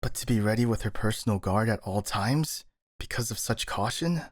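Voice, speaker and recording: A man's voice: on the low side, normal speed, dry recording, clean studio sound, natural voice. A man playing a skeptical, worried, exasperated ninja in an ASMR roleplay, with a sighing, doubting manner. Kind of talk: ASMR roleplay audio drama acting.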